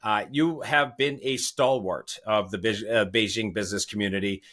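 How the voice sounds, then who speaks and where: A man's voice: on the low side, moderate pace, fairly bright tone. A man hosting an interview podcast who wanders into digressions and asides before his questions. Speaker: podcast host.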